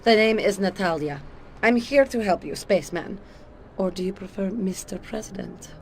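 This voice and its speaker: An actress playing a cool, confident Soviet KGB agent in a video game, with a Russian accent and a commanding, matter-of-fact manner. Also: female voice, medium pitch, moderate pace, vocal fry, slight background noise, close to an everyday voice. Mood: cool, assured. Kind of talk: video game cutscene acting.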